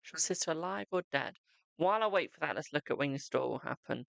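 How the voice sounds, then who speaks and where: A man's voice: a little high, lively intonation, quick pace, gravelly, a bit bright, dry recording. A man giving a live-stream psychic tarot reading, chatting to viewers and calling them "my darling".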